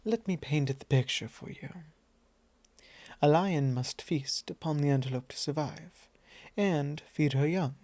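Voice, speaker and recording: A suave vampire lord, acted out by a man in an intimate ASMR roleplay, speaks with mellow, gravelly courtliness. He is possessive and softly commanding, teasing as he goes, and sounds very close and dry. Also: on the high side, measured pace, no echo. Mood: persuasive, firm.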